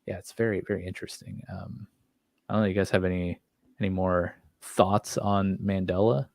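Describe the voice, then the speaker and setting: A man's voice: low voice, little pitch movement, moderate pace, gravelly, a little mellow, dry recording, studio quality. A man co-hosting a podcast, chatting casually and enthusiastically about horror series in an informal way. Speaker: podcast co-host.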